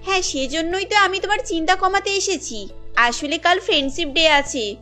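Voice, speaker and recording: A woman's voice: very high-pitched, moderate pace, pushed and bright, faint music behind. A woman voicing an affectionate, forthright and pouty college girl in a cartoon, with a coquettish, direct manner. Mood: caring, cheerful. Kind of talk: cartoon story dialogue.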